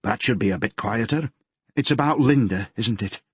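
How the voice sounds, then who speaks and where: A man voicing a grieving middle-aged mother with a Yorkshire accent, who talks in a gentle, fretful and homely way. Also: on the low side, normal speed, slightly rough, no echo. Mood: anxious, fretful. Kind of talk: audiobook character voice.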